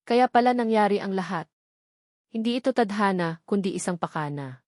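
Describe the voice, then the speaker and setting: A woman's voice: medium pitch, animated, moderate pace, a little mellow, dry recording, clean studio sound, natural voice. A woman voicing an emotional, frightened mother in a romance story. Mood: hurt, trembling.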